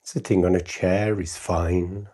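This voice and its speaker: A man with a low, mellow voice guides a meditation in short, soothing phrases. His tone is husky and soft-edged, and he leaves long gaps as he gently instructs the listener. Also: fairly even intonation. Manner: spontaneous, unscripted, with long pauses between short phrases. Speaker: meditation teacher hosting a livestream.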